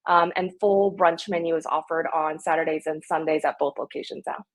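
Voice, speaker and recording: A woman's voice: deep voice, steady tone, fairly quick. A woman in her thirties, born in Korea, talking upbeat and enthusiastic as a restaurant-owner guest in a casual podcast interview.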